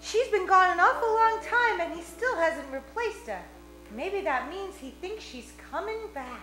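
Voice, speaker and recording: A woman's voice: very high-pitched, lively intonation, a little slow, sharp tone, exaggerated voice. A woman acting an anxious, devoted sweetheart in a comedic radio play, plaintive and melodramatic. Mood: wary. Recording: roomy stage, faint music.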